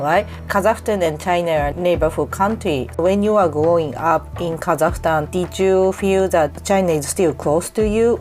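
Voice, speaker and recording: A woman with a fairly low voice hosts the conversation, asking curious questions. She reacts with short "wow"s and murmured agreement. Faint music sits under her voice. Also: a little mellow. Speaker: podcast host. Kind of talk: interview questions.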